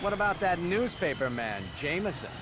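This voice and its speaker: An actor playing a smug, taunting showman villain in a video game, speaking in a pompous, deliberate manner. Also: high voice, very animated, background noise. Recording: echoing arena hall, loud music.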